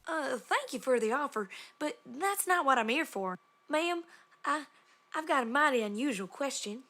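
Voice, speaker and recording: A woman's voice: high voice, animated, moderate pace, bright, forceful tone, full character voice. A woman voicing an earnest country farm mare with a folksy rural Southern American drawl and polite, flustered manners.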